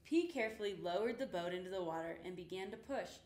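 A young woman reading a children's picture book aloud in an expressive storytelling way. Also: lowish voice, fast. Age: young adult. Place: indoor room with painted rainbow backdrop. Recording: roomy, echoing indoor sound.

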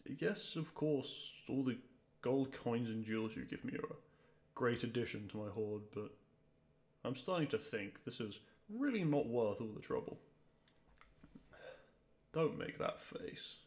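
A man's voice: medium pitch, lively intonation, a little slow, long pauses, darkish tone. A man playing a grumpy, weary dragon who is secretly fond, speaking to the listener in an exasperated, dry way in an audio roleplay. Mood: weary, annoyed.

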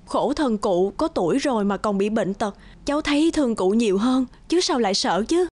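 An actress playing a kind young peasant mother in an animated folk tale, gentle and respectful in manner. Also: a little high, moderate pace, no room sound. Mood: worried, caring.

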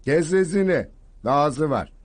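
A man's voice: a little high, animated, measured pace. An actor dubbing a fussy, befuddled old king in a cartoon, mumbling and humming to himself. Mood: solemn. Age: older. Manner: mumbling hmms, chuckling. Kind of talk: Turkish cartoon dub.

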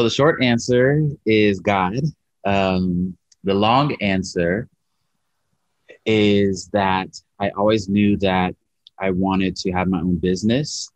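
A man's voice: sits low, no room sound. A man in his thirties, a coffee shop owner, talking earnestly and with animation on a video call from his shop. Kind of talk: interview over video call. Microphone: video call webcam. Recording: slightly muffled video call audio.

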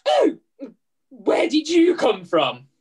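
A woman's voice: high voice, wildly swooping pitch, takes it slowly, darkish tone, full character voice. A woman playing a hard-up, cheeky pantomime mother with broad comic exclamations, in an amateur script reading over a video call. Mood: cheeky, delighted. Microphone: computer mic on video call.

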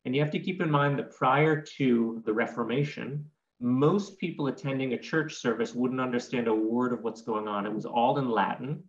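A man's voice: medium pitch, normal speed. A man in his forties explains things articulately and didactically, as a guest in an interview. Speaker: guest art historian. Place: home room with bookshelves. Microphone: computer microphone on video call. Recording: dull, echoey video call audio.

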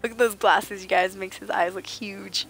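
A woman streaming a game, slightly raspy and under the weather, chatting playfully with viewers and joking in mock outrage. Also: mid-range voice, steady tone, normal speed, a bit bright, soft background music, rough recording. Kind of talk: casual gaming chat with viewers.

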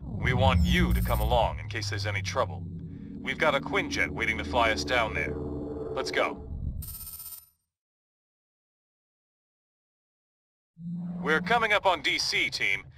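An actor playing a confident, wry armored superhero team leader in a video game, brisk and matter-of-fact. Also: male voice, mid-range voice, pushed and bright, slight background noise. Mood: brisk, urgent. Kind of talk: video game cutscene acting and briefing.

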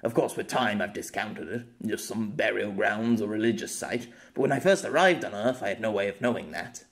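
A man's voice: lowish voice, lively intonation, fairly quick, pitched below the performer's own voice. A man playing an awkward, good-natured male storyteller explaining himself in an audiobook, hesitant and rambling. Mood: explanatory.

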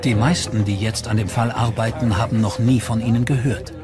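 Male narrator, mid-pitched, scripted true crime documentary read over a strong music bed. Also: steady tone, fairly quick. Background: prominent music.

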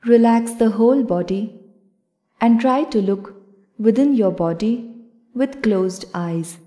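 Slow, mellow woman guiding meditation. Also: fairly high pitch. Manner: calm, measured, instructional. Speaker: yoga nidra instructor. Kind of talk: guided meditation narration.

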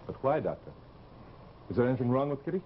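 A man's voice: medium pitch, very animated, fast talker, close to an everyday voice. An actor playing a worried, insistent fiancé in his thirties, blunt and urgent, in 1950s television drama style. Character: insistent, worried young fiancé demanding answers. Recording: dull, noisy old TV soundtrack.

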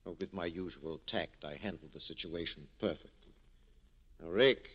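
An actor playing a suave, opportunistic police captain, urbane and sardonic, in 1940s radio acting style. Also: male voice, low-pitched, moderate pace, slightly rough. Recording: dull 1940s radio broadcast recording.

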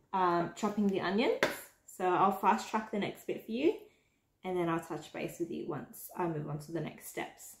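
Brief: Australian woman, home cooking vlogger, chatty step-by-step talk, roomy kitchen sound. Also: fairly low pitch. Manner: chatty, step-by-step, addresses viewers as guys. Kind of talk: casual cooking narration to camera.

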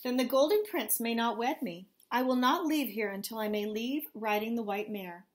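A woman's voice: on the high side, normal speed, lightly acted. A woman reading aloud as a young princess who is stubborn and breathless with fear. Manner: pleading, breathless.

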